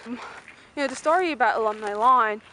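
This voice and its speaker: High-voiced young woman rambling over background noise. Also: a little fast, pushed and bright. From Malaysia. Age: young adult. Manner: rambling, filler-heavy, informal. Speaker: student vlogger. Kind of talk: casual, unscripted walking-tour vlog. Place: mostly outdoors on a university campus. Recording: noisy handheld outdoor camera audio.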